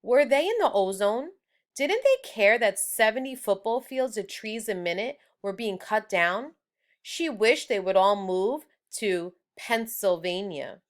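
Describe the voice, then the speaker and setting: A woman's voice: medium pitch, expressive, measured pace. A woman reading a children's storybook aloud as scripted narration. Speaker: storybook reader. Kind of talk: children's book read-aloud.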